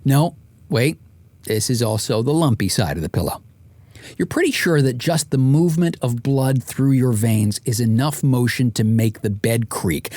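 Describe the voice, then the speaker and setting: Male audiobook narrator, wry and comically exasperated storyteller, middling pitch, very dry close sound. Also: a little mellow, no room sound. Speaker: author and podcast host narrating.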